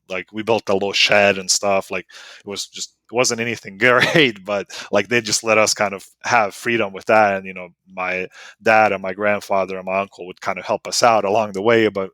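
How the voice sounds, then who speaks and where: A man's voice: low voice, bright, forceful tone, no echo. A man in his thirties from Bosnia, whose first language is Serbo-Croatian, telling stories as a podcast guest in a loose, rambling way full of "like" and "you know.". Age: 30s. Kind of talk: casual storytelling interview.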